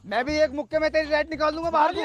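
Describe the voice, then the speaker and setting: A man playing a loud, boastful, quarrelsome villager in a comedy sketch, with a crude, combative manner. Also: very high voice, fairly even intonation, rapid-fire. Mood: scornful, angry. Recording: music behind the voice.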